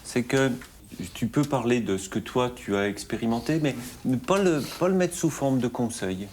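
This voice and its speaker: Gentle man guiding a group. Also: mid-range voice, animated, normal speed, a little hiss or hum. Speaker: priest leading the group.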